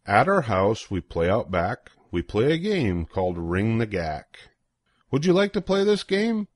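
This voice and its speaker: Older male storybook reader, lowish voice, very animated, dry close sound. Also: measured pace, dry recording. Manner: playful, sing-song rhythm with swooping emphasis. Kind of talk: expressive read-aloud of a children's rhyming picture book.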